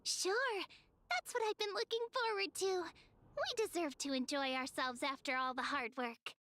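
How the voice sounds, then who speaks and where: Very high, bright, cute little companion. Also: female voice, normal speed. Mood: eager. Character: tiny sweet female companion, childlike, caring and perky. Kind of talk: English video game voice acting.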